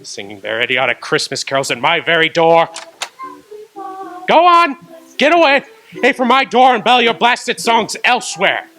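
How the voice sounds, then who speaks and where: A scornful, gruff old miser for a radio play. His voice is bright and sharp, with a wide, lively swing in pitch and a slightly high register. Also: unhurried, soft background music, full character voice. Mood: angry. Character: miserly bitter old male moneylender. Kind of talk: radio drama acting.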